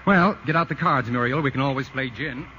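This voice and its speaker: An actor playing a droll, casual, teasing family friend in a 1940s radio comedy. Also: male voice, mid-range voice, brisk, over loud music, natural voice. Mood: awkward. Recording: muffled, noisy vintage radio recording.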